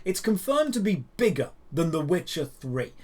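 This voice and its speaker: Higher-pitched man presenting gaming news. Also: very animated, normal speed. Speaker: host of a gaming news show. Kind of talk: scripted news narration to viewers.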